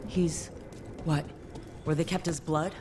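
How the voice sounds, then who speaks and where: An actress playing a steady, inquisitive adventurer leader in a video game, calm and questioning. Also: female voice, sits low, slow, noisy background. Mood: determined.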